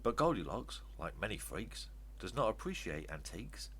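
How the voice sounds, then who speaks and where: An older man reads rhyming verse aloud as children's storytelling, in an expressive, emphatic way. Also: sits low, moderate pace. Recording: steady background noise with hum.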